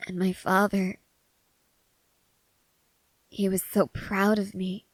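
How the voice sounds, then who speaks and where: A woman playing a haunted, restless young woman soldier in an intimate ASMR character roleplay, speaking haltingly and broodingly with pauses. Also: medium pitch, level tone, no echo, rough recording. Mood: wistful. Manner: slow, halting, brooding delivery with long pauses.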